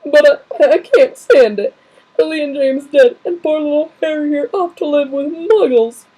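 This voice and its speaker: A woman reading aloud and putting on the voice of a huge, soft-hearted male giant, loud and tearful. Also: medium pitch, steady tone, a little slow, natural voice, low-quality recording. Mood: sobbing.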